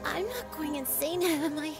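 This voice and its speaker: An anxious young catgirl from a visual novel, with a very high, girlish pitch. She questions things in a panicked way, with music clearly playing behind her. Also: normal speed. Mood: anxious, bewildered. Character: anxious young catgirl trapped in a strange apartment. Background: game music.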